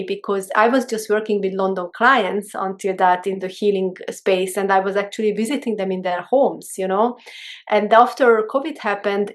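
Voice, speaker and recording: A middle-aged woman with a Hungarian accent, a guest in a casual interview, speaking in a halting way with many restarts. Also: mid-range voice. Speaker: guest, holistic mentor.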